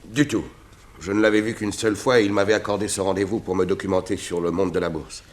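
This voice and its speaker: A man playing a courteous, composed gentleman writer with precise speech in a 1960s French radio drama. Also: low voice, steady tone, fast, close to an everyday voice.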